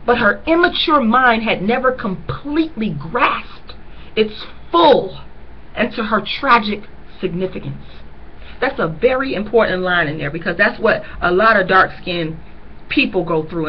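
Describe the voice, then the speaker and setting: A woman's voice: medium pitch, muffled recording, a little hiss or hum. Earnest woman reading a novel aloud. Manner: earnest, pauses to stress key lines to listeners. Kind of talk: reading aloud with personal commentary.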